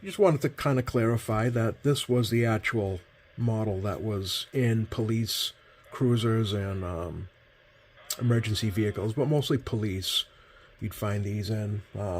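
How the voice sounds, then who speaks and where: A man's voice: fairly low pitch, a little slow, a little mellow. A man giving a casual, hands-on demonstration as he hosts at a radio workbench. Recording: clear background noise.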